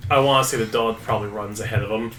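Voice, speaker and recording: A man's voice: on the low side, fairly even intonation, fast talker, over loud music. A man playing a tabletop game, chatting casually and thinking aloud as he improvises his character's choices. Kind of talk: casual improvised roleplay chat. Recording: echoey room, background noise.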